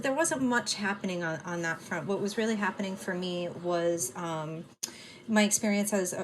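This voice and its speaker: Guest, woman, 40s, Boston accent, lower voice, animated and laughy, slight background hiss. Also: poor audio. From United States (near Boston). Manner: animated, laughs often, many "like" fillers. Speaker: activist guest. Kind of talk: casual interview talk.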